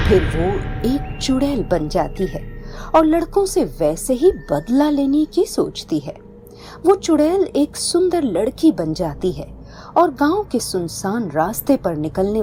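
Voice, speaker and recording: A woman's voice: a little high, dark tone. A woman storyteller reading a scripted horror-comedy tale as narrator. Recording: background music.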